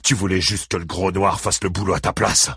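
A man's voice: sits low, level tone, brisk, sharp tone, husky, no room sound, studio quality, exaggerated voice. A man voicing a furious, bitter, vengeful father who spits out harsh accusations in an audiobook novel. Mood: bitter anger.

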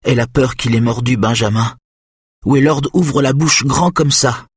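A man's voice: medium pitch, normal speed, slightly rough, dry recording, voice pushed up, lightly acted. A man voicing a big childlike young man, anxious and innocent, who talks in a simple, eager way close to tears. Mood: frightened.

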